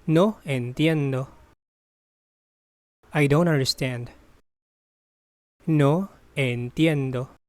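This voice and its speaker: A teacher reading a phrasebook drill. Each phrase is said once, translated, then repeated slowly in parts. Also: medium pitch, no echo. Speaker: language teacher.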